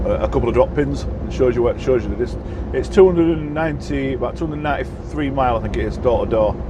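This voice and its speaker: A croaky man talks to camera from the cab of his moving truck, chatty and rambling with plenty of "uh"s. His pitch sits in the ordinary middle range for a man. The engine and road hum stay clearly audible under him. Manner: chatty, rambling, frequent "uh" fillers. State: husky, croaky. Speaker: truck driver vlogger. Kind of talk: casual vlog chat while driving.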